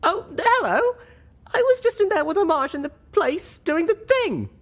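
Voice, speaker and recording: A man voicing a small, awkward young female pony, anxious and squeaky, in a storybook reading. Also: big pitch swings, moderate pace, heavily acted. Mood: panicked. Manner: squeaky, hesitant, blurting. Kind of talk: audiobook character voice.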